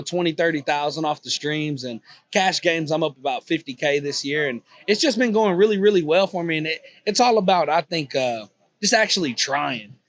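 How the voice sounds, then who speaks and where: A man in his twenties talks in an easygoing Texan drawl, his voice sitting a little high for a man. He rambles comfortably, dropping "you know" every few phrases as he answers questions. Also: a bit bright. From Texas, USA. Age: 20s. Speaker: guest, poker vlogger. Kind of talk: casual interview chat. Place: on a cruise ship.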